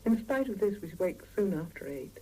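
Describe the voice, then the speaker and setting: A woman's voice: medium pitch, sing-song, normal speed, dark tone, breathy edge, low-quality recording. An actress playing a weary Soviet wife and mother in a 1970s radio drama. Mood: matter-of-fact. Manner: intimate, reflective when narrating, exasperated with family. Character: weary Soviet working wife and mother narrating her week. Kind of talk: 1970s radio drama acting and first-person narration. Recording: hissy 1975 mono radio cassette copy.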